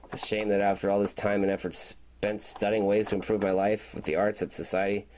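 A man's voice: lowish voice, flat delivery, a little fast, darkish tone, natural voice. A man playing an embittered, rambling spaceship commander in an amateur science-fiction audio drama, agitated and defiant. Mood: bitter, weary. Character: embittered male spaceship commander and struggling artist, ranting defiantly. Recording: very noisy home-made tape recording.

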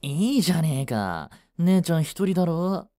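A man's voice: fairly high pitch, sing-song, moderate pace, warm tone, pitched above the performer's own voice. Man putting on a sleazy, drawling street flirt. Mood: smarmy, wheedling. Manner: drawling, smarmy, taunting. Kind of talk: romantic audio drama acting.

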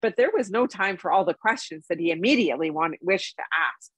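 A woman's voice: fairly low pitch, lively intonation, fairly quick, breathy edge, no room sound. A middle-aged woman narrating a bedtime story, reading aloud from a book. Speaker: story reader.

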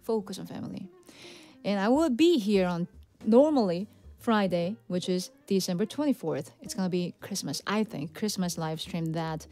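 A woman in her thirties, a native Korean speaker with a Korean accent, chatting casually with livestream viewers, laughing often and talking softly. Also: mid-range voice, expressive, normal speed, soft background music. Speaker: livestream pianist host. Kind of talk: casual chat with viewers, reading donations. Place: cozy home music room with Christmas decorations. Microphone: studio condenser mic on stand.

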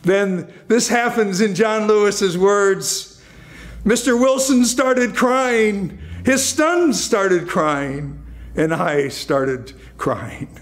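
Slow-paced older American preacher. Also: male voice, high voice. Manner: deliberate, emphatic phrasing with pauses. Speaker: pastor. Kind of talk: sermon. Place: indoors at a church lectern.

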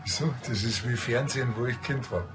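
A man playing an excitable, indignant middle-aged policeman with a Bavarian accent in a TV crime comedy. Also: medium pitch, brisk, music in the background, lightly acted. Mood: grumbling.

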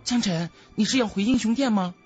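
A man voicing a polite young fantasy warrior in an audiobook, light, expressive and courteous. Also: high voice, brisk, slightly rough, soft background music, voice pushed up. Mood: courteous, curious.

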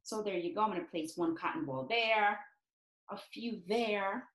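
A woman in her forties teaching young children in an expressive, encouraging, sing-song way, as in a read-aloud and craft lesson. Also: medium pitch, normal speed. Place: small indoor home classroom. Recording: roomy, echoey home room sound.

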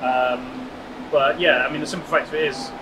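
A man's voice: medium pitch, steady tone, moderate pace. A man guiding a walking tour of a factory, explaining the work in detail and matter-of-factly. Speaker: factory guide. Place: indoor motorcycle factory floor. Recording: noisy factory floor.

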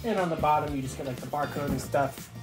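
A man's voice: on the high side, fast talker, soft background music. A young man giving a casual, enthusiastic unboxing review to camera in an informal, chatty way. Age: young adult. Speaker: toy review vlogger. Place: small dorm bedroom, indoors.

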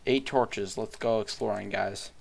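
A young man talks his way through a game, excitable and quick to swear. He speaks slowly, and a faint background hum sits under his voice. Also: fairly low pitch, vocal fry. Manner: excitable, swears, yells and laughs. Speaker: gamer co-host. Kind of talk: casual gameplay chat.

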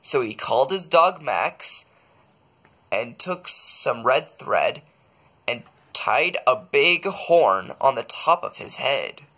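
A man reading a children's bedtime story aloud, expressively, with dramatic pauses. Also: mid-range voice, expressive, sharp tone, breathy edge. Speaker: storybook reader.

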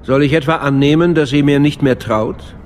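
An actor playing a dignified older chancellor in a radio play, with a formal, measured manner. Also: male voice, fairly high pitch, a little hiss or hum, lightly acted. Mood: displeased. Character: dignified, smooth-talking older male chancellor. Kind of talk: dramatic radio play acting.

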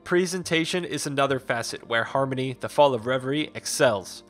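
A man's voice: medium pitch, brisk, fairly bright tone. Male game reviewer over background music. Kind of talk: scripted review narration.

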